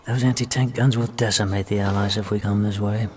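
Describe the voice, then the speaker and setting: A man's voice: fairly low pitch, fairly even intonation, brisk, darkish tone, soft background music, natural voice. An actor playing a practical, understated male soldier ally giving a briefing in a war game. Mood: wary, practical. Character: matter-of-fact male wartime ally briefing a sniper. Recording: distant voice with faint ambient noise.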